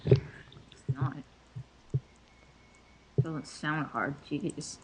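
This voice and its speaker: A woman's voice: deep voice, very slow, husky, rough recording. A woman in a casual group discussion, throwing in blunt reactions. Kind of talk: casual chat.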